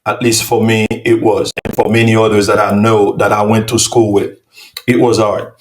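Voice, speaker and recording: A man's voice: on the low side, level tone, normal speed, a little hiss or hum. A man from Haiti hosting an interview, asking long, probing questions and often circling back. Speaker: host. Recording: roomy echo.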